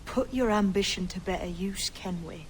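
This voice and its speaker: A woman's voice: mid-range voice, moderate pace, a little hiss or hum, close to an everyday voice. An actress playing a stern, frank, principled woman pirate in a dramatic video game cutscene. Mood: challenging, grave.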